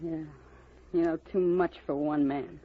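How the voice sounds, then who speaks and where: A woman's voice: deep voice, steady tone, unhurried. An actress playing a gangster's anxious girlfriend in a 1950s radio drama, fretful and pleading. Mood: needy, bitter. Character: anxious, jittery gangster's girlfriend, wary and reluctant. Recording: muffled, noisy vintage radio recording.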